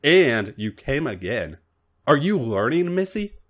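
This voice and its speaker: A man acts out a domineering, impatient woman in an audio story. The delivery is commanding and exasperated, able to turn tender, and the pitch moves about widely. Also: sing-song, measured pace, very noisy. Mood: stern. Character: bossy, impatient adult woman, by turns tender. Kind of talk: acted dialogue in story reading.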